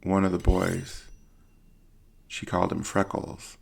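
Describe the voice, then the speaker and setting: Deep, very gravelly male storyteller. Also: completely flat delivery, takes it slowly. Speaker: audio drama narrator. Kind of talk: dramatic scripted narration.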